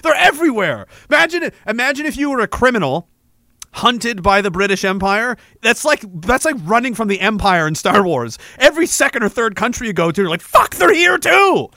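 High-voiced, animated Canadian man ranting. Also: fairly quick, dry recording. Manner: loud, mocking and rambling, with lots of swearing. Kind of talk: comedic rant.

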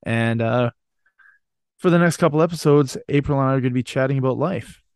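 A man's voice: mid-range voice, a little fast, clear, resonant tone, no echo, clean studio sound. A man hosting a podcast in casual chat, rambling and joking, with a casual swear now and then. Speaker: podcast host.

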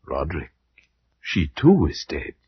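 An actor playing a grave, dread-filled gentleman who narrates and acts as a loyal friend in vintage radio horror, solemn, measured and theatrical. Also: rumbling low, huge pitch swings, a little hiss or hum, exaggerated voice. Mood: grave, reluctant. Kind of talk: 1940s-50s radio horror narration and acting. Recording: dull vintage radio recording, loud music.

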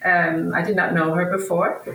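A woman from Denmark, a native Danish speaker in her fifties, speaks in a fairly low voice with plenty of creak. She gives halting, conversational interview answers, thinking as she goes. The sound is roomy and echoey. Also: flat delivery, a bit bright. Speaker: podcast guest, researcher and academic. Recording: roomy echo, distant from microphone.

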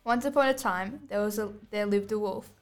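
A woman's voice: medium pitch, moderate pace. A girl of about eleven or twelve reading scripted storybook narration aloud as a student podcast host. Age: child, about 11 to 12.

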